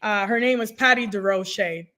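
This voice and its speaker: A woman in her thirties whose first language is French, telling stories as an energetic guest on a podcast recorded over a video call from home. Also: medium pitch, moderate pace. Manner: energetic storytelling, expressive hands. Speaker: guest, nurse career coach. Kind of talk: conversational podcast interview. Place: home room, indoors. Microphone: desk microphone on video call.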